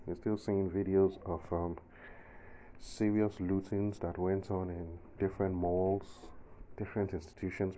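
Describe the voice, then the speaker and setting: A man's voice: sits low, warm tone, lo-fi sound, a little hiss or hum. A man in his forties with a Nigerian accent, giving his own emphatic, indignant opinion in a monologue. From southern Nigeria. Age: 40s. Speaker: commentator.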